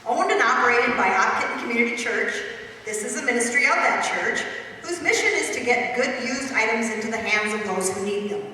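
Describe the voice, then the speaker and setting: A woman's voice: a little high, sharp tone, a little hiss or hum. A woman reading a scripted sponsor advertisement as an announcer. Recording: echoey hall recording from far off.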